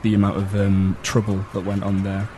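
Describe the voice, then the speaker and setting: A man from Manchester with a Mancunian accent, acting as a local guide and explaining in a relaxed way with lots of ums. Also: on the low side, normal speed, a little hiss or hum. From Manchester, England. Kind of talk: casual explaining.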